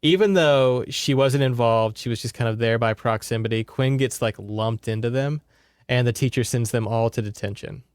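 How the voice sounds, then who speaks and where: A man's voice: medium pitch, moderate pace, clean tone, dry recording, studio quality. A man co-hosting a podcast, recapping a book in a rambling, conversational way with sardonic asides. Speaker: podcast co-host. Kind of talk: casual book recap chat.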